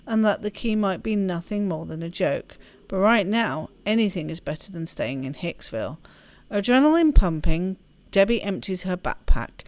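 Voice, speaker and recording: A woman reading a story aloud as expressive narration for a game playthrough. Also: medium pitch, no echo. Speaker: let's play host reading game text aloud.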